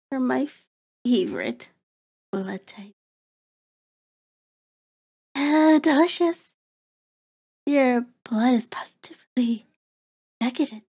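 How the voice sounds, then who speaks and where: A woman's voice: fairly high pitch, dark tone, breathy edge. A woman playing a cruel, predatory vampire in an ASMR horror roleplay, taunting and haughty, savoring words in formal diction. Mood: savoring, hungry. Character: cruel, predatory female vampire toying with her captive.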